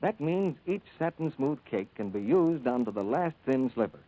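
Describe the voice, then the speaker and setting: A man announcing on 1940s radio in a polished, scripted sales style, as host and soap pitchman. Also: sing-song, normal speed, phone-quality sound.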